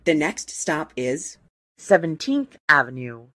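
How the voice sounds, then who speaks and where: A woman reading scripted train announcements in a measured, formal, segmented way. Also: low-pitched, sing-song, a bit bright. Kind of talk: scripted transit announcement, spliced clips.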